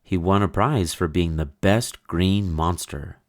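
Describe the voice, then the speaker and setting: A man reading a children's picture book aloud with slow, expressive storybook delivery. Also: low-pitched. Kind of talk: read-aloud storybook narration.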